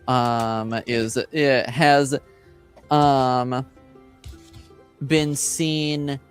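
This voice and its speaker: Slow, halting male game master. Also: medium pitch, clear, resonant tone. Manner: halting, thinking aloud. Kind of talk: improvised narration of a tabletop game.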